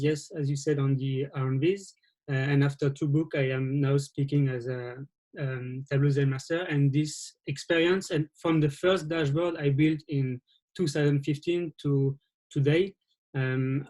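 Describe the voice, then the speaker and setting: A man from France with a French accent, giving a presentation over a video call and talking in a halting way with frequent restarts. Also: on the high side, darkish tone, low-quality recording. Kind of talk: webinar presentation.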